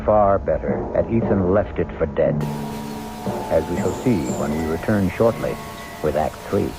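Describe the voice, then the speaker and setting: Deep-voiced man hosting radio drama. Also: normal speed, over loud music. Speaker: drama host. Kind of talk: ominous scripted radio narration.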